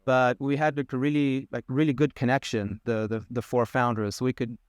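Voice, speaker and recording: Israeli guy chatting easy on a podcast, smooth clear voice, dry close sound. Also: medium pitch, level tone, moderate pace, no room sound. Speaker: podcast guest, molecular biologist. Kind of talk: conversational interview.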